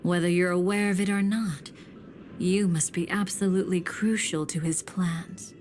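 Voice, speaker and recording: A woman's voice: fairly low pitch, steady tone, moderate pace, darkish tone, a little hiss or hum, lightly acted. A woman playing a mysterious, knowing woman in a video game, composed and articulate with a slight teasing confidence. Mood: composed, persuasive. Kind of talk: scripted game voice acting.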